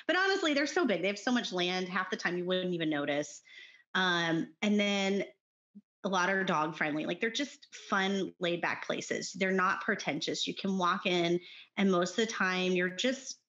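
Texan woman, middle-aged, Texan accent, low-ish chatty voice, muffled podcast audio. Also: lively intonation, normal speed, fairly bright tone. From Texas. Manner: chatty and enthusiastic, frequent ums and laughs. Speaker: podcast guest. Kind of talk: casual chat.

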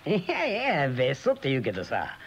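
A man's voice: mid-range voice, huge pitch swings, brisk, slight background noise. An actor playing a chatty, wry friend in his thirties in a radio drama, explaining things colloquially. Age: 30s. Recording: dull lo-fi old radio recording.

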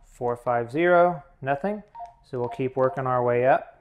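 A man hosting a tutorial, giving hands-on instructional narration in a measured, methodical way. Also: mid-range voice, phone-quality sound, slight background noise. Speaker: tutorial host.

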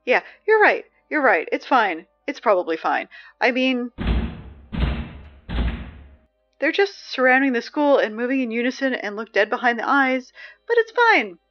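A woman plays a chatty young announcer whose patter keeps tipping into fluster. Her voice sits fairly high. The recording is slightly dull, with faint music under it. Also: very animated, normal speed. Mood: anxious. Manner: chatty, growing flustered and panicky. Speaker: school announcer. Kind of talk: audio drama acting.

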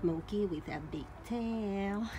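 A woman showing her doll collection in a bedroom, cooing and gushing in a slow baby-talk way with soft laughs, filmed on a handheld phone. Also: medium pitch, noisy background. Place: bedroom at home. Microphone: phone camera held by the speaker.

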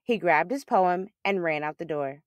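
A woman reading a children's picture book aloud as a storyteller, with expressive, emphatic delivery. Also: fairly low pitch, moderate pace, dry recording.

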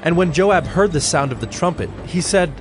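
A man reading scripted narration for a dramatized Bible in a measured storytelling manner. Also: fairly high pitch, lively intonation. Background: prominent music.

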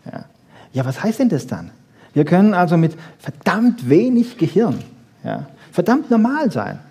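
Husky, mellow man giving a lecture. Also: a little high. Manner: emphatic, with rhetorical questions and frequent "ja" checks. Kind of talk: popular science lecture to an audience, freely spoken.

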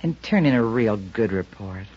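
An actress playing a manipulative young widow in an old radio drama. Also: female voice, rumbling low, lively intonation, moderate pace, dark tone, slightly rough, exaggerated voice. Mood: persuasive. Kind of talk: 1940s radio acting. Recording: dull lo-fi old radio recording.

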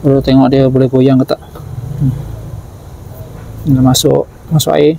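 A man's voice: medium pitch, level tone, a little slow, creaky, dark tone, a little hiss or hum, low-quality recording. A man with a Malaysian Malay accent, a mechanic giving plain, matter-of-fact commentary while he works on an engine in a car workshop. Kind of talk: casual work commentary.

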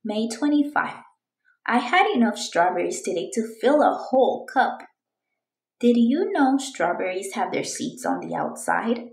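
A woman reading a storybook aloud for children in an expressive, deliberate, clearly phrased way. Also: a little high, reverberant. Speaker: children's book reader.

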